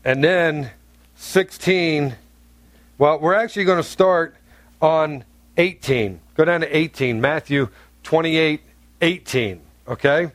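A pastor from North Carolina preaches to his congregation in a blunt, joking, conversational way, full of asides. His voice is on the higher side for a man, and the sound is close and dry, with little room echo. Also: slow pace. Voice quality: deep, carrying voice. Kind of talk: sermon. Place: church sanctuary.

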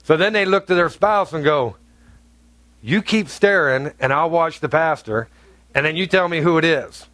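Blunt, joking pastor from North Carolina. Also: male voice, moderate pace, a bit bright, no room sound. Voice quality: deep, carrying voice. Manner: blunt, humorous, chatty preaching with asides. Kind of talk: sermon. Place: church sanctuary.

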